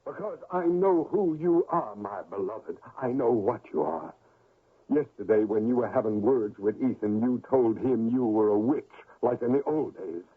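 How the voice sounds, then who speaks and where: Husky old backwoods father, thin muffled sound. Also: mid-range voice, animated, normal speed. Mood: bitter, resolute. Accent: rural American. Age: older. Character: grieving old backwoods father, stern, accusing, vengeful. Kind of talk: 1970s radio drama acting. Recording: muffled, phone-like old radio recording.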